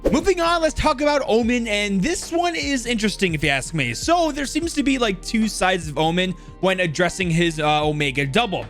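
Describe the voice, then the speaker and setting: A man gives casual game lore commentary as a video narrator. His voice is unusually high for a man and very lively in intonation, with faint music behind. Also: a little fast, hardly any pauses.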